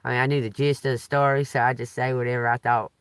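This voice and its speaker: A man hosting a live stream, chatting loudly and laughing often while telling profane stories. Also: mid-range voice, level tone, fast, clean tone, no echo. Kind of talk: rambling casual live stream chat.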